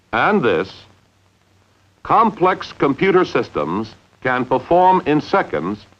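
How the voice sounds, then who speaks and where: An old, slightly muffled film soundtrack carries a man's scripted instructional narration in 1950s style. He speaks at a mid-range pitch with animated intonation, measuring out each phrase and stressing key words deliberately.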